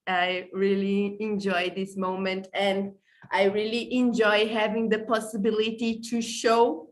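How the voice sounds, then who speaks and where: A woman's voice: medium pitch, fairly bright tone. A woman from Brazil whose first language is not English, hosting an online podcast in a casual, effusive way, stumbling and apologizing now and then, on a video-call mic. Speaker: podcast host.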